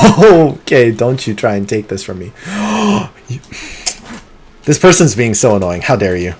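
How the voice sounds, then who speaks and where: A man streaming a game live, talking through it in an excitable, competitive way, with sudden gasps and pleading. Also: mid-range voice, measured pace, husky. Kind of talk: live gameplay commentary.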